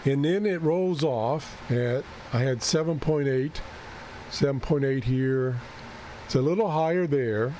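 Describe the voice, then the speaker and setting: A man who is losing his voice and keeps clearing his throat talks through a radio setup, thinking aloud and correcting himself as he goes. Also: mid-range voice, a little slow, dark tone, a little hiss or hum. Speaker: ham radio video host. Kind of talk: unscripted screen-share walkthrough.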